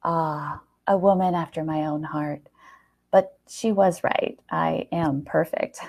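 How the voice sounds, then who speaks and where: A woman's voice: sits low, fairly even intonation, slow pace, gravelly, darkish tone, full character voice. A woman voicing an evasive groom, smug yet hesitant and placating when cornered.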